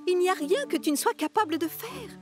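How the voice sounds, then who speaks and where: A woman voicing a bossy yet encouraging female railway carriage in a cartoon, expressive and coaxing. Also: very high voice, brisk, faint music behind. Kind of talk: French cartoon dub acting.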